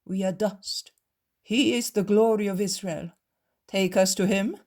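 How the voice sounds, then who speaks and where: A woman's voice: mid-range voice, fairly even intonation, unhurried, a little mellow, voice pushed up, lightly acted. A woman reading a story aloud, voicing proud male Pharisees who berate the host, then turn falsely pious. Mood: fawning. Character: two proud, vainglorious male Pharisees, angry then fawning.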